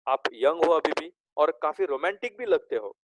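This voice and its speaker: A male teacher giving a recorded class lesson. He reads English lines slowly and drawn out, explains them, and keeps checking in with "okay?". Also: a little high, lively intonation, slight background noise, rough recording. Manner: slow, drawn-out reading of English lines, then explains in Hindi.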